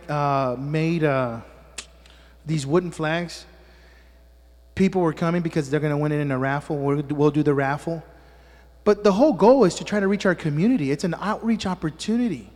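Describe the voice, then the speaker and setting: A man's voice: a little high, darkish tone, big-room sound. A man preaching a sermon as pastor to his congregation, a native Spanish speaker who teases people with joking asides and keeps checking in with "amen?". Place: church sanctuary.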